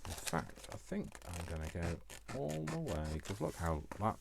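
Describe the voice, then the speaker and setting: A man's voice: low voice, very animated, measured pace, vocal fry, noisy background. A British man hosting a casual craft tutorial, chatting in a rambling, jokey way as if to himself. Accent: British English.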